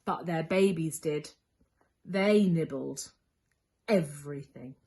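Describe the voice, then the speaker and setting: A woman's voice: low voice, slow, a little mellow. A woman reading a children's story expressively as a school storyteller, leaning on key words for young listeners.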